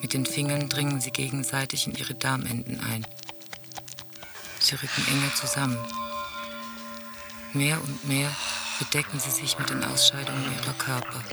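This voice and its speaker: An actor in a radio drama playing a detached teller of bleak, intimate scenes, with measured, solemn phrasing. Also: mid-range voice, level tone, gravelly, lightly acted. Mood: solemn, intense. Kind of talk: literary radio drama monologue. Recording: loud music under the voice. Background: music.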